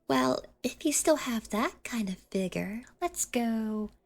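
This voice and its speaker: A woman's voice: fairly high pitch, lively intonation, slow, creaky, heavily acted. A woman playing a smug school bully's sidekick in an ASMR roleplay, teasing and belittling in a mock-sweet way.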